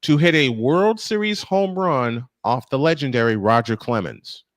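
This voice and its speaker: A man hosts a live baseball broadcast as play-by-play announcer. His voice sits at a middle pitch and is recorded dry and close, moving between calling the action and chatting. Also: animated, normal speed, no echo. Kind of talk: live baseball commentary with chat.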